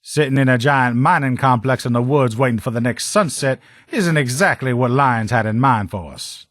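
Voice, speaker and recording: A man's voice: mid-range voice, moderate pace, fairly bright tone, no room sound, close to an everyday voice. A man playing a brash sheriff's lieutenant and proud armor mechanic in a video game, blunt, sardonic and salty-tongued. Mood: matter-of-fact. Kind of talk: video game character dialogue.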